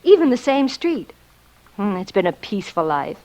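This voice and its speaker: An actress playing a contented middle-aged wife and mother in an old radio drama, homely and gently teasing. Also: mid-range voice, very animated, normal speed, natural voice. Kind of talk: 1940s-50s radio drama acting.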